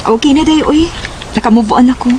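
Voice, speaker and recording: A woman's voice: high-pitched, fairly quick, background noise, natural voice. A woman acting a heartbroken young woman in love in a Cebuano radio drama, with an emotional, plaintive delivery. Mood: putting it behind.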